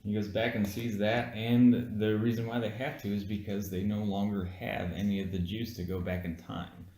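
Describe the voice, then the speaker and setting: A man's voice: on the low side, level tone, moderate pace, mellow. A man who has had some beers, casually co-hosting a film review with slangy, sweary talk. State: has been drinking beer. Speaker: podcast co-host reviewing a film. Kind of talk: casual chat. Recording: echoey, roomy sound.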